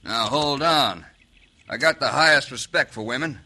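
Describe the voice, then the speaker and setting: An actor playing a proud, plain-spoken, stubborn cowboy rancher in an old radio western, with a Western drawl. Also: male voice, mid-range voice, normal speed, sharp tone. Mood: defiant. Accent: American Western drawl. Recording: old radio recording, faint noise.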